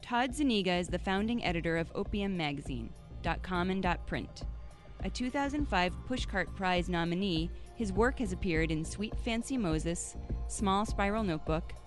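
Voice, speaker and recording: A woman's voice: fairly low pitch, expressive, normal speed. A woman podcast announcer reading scripted introductions. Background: music under the voice.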